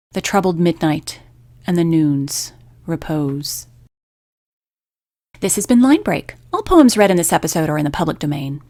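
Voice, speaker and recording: A woman hosting a poetry radio program, reading from a script and reciting verse with measured, deliberate phrasing. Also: on the low side, no room sound, studio quality.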